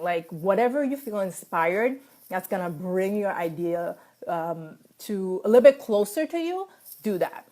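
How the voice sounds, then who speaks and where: A woman's voice: on the low side, a little mellow. A woman in her thirties whose first language is French, giving a casual motivational talk full of fillers, restarts and laughs. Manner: chatty, many fillers and restarts, laughs often. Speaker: speaker sharing personal advice.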